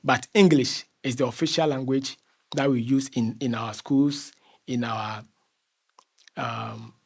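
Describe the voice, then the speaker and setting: A man's voice: mid-range voice, steady tone, slow pace, creaky. A man from Ghana with a Ghanaian accent speaks as an interview guest, explaining conversationally and often repeating phrases as he searches for words.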